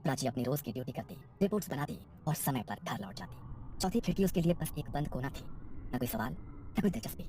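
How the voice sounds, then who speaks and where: Very low-voiced woman narrating horror. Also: very animated, quick pace, mellow, a little hiss or hum. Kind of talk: scripted horror story narration.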